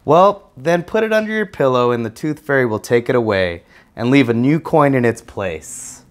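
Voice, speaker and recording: A man's voice: mid-range voice, animated, normal speed, lightly acted. A man voicing a cocky, know-it-all young boy bear cub in a children's storybook. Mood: instructive. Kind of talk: children's storybook read-aloud.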